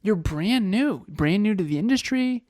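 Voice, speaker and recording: Man, 30s, podcast co-host, energetic, rhetorical questions, slightly high voice, dry close sound. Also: normal speed, dry recording. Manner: energetic, fires off rhetorical questions and repeats phrases. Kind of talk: motivational podcast talk.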